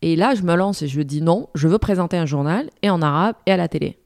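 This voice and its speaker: A woman from Morocco in her forties, a journalist and news presenter, telling her career story in an interview in a lively way, with restarts and fillers. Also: lowish voice, quick pace, dry recording, studio quality.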